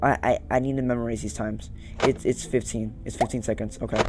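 A man's voice: mid-range voice, little pitch movement, brisk. A young man doing casual gameplay commentary, repeating short phrases to himself as he plays. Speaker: gaming video host. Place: small room at home, indoors. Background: game music.

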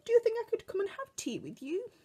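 A woman's voice: very high voice, huge pitch swings, quick pace, warm tone, voice pushed up, exaggerated voice. A British woman voicing a big, furry, hungry male tiger with courteous manners in a children's storybook. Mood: polite, hungry. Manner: courteous, politely asking.

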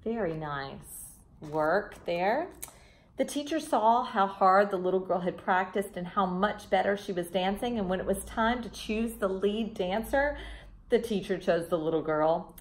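A woman's voice: mid-range voice, animated, moderate pace. A woman in her thirties reading a children's storybook expressively, with teacherly asides, recorded indoors at home. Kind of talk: expressive picture-book read-aloud. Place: front room of a house, indoors.

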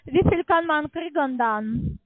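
Very high-pitched hesitant woman on the phone. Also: lively intonation, unhurried, dry recording. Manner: hesitant, searching for words, non-native grammar. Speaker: call centre caller presenting herself as coordinator. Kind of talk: halting phone sales conversation. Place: phone call. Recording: tinny narrow phone line.

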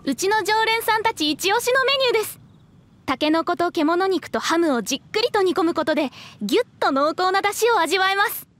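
An actress playing a courteous young waitress explaining things politely in an anime game. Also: female voice, very high voice, lively intonation, brisk, a bit bright. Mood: polite, proud.

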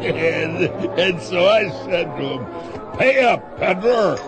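High-pitched, swaggering saloon bully. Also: male voice, lively intonation, takes it slowly, over music, exaggerated voice. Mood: boastful. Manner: swaggering, boastful. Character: loud, boastful drunken saloon bully gunslinger. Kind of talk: radio western drama acting.

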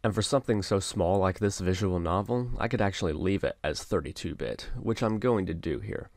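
Man, low voice, dry close recording, casual how-to narration, methodical instructor. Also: animated, fairly quick, creaky, a little mellow, no echo. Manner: explanatory, step-by-step. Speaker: software tutorial instructor.

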